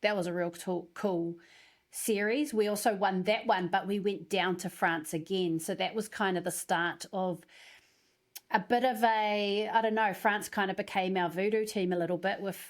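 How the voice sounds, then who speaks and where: A woman from New Zealand, a former rugby player co-hosting a podcast, telling stories in a relaxed, easygoing way. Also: mid-range voice, normal speed. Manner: relaxed, easygoing storytelling with fillers. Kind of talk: casual podcast chat.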